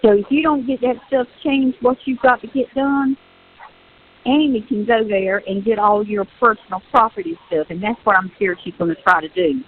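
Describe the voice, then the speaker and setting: Tinny phone-line Southern woman, higher-pitched. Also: moderate pace. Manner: insistent, repeats phrases for emphasis. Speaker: relative on a phone call. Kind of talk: personal phone conversation, venting. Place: recorded prison phone call.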